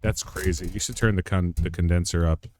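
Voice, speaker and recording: A man with a deep voice co-hosting a casual podcast, joking and swearing offhandedly, sitting a little back from his microphone. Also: steady tone, brisk, darkish tone, slight background noise. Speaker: podcast co-host. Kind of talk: casual chat. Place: home studio, streaming live.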